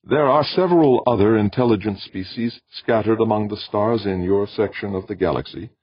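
A man voicing a calm, ancient alien being who speaks in a flat, intoning way. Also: fairly low pitch, normal speed, husky, no echo, pitched above the performer's own voice. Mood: solemn. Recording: dull dry recording.

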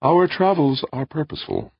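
A man voicing an ancient, calm, self-aware alien machine in a sweet tenor, with measured, formal, unemotional speech for an audiobook story. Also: fairly high pitch, vocal fry, husky, no echo, muffled recording, voice pushed up, full character voice. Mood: calm, solemn.

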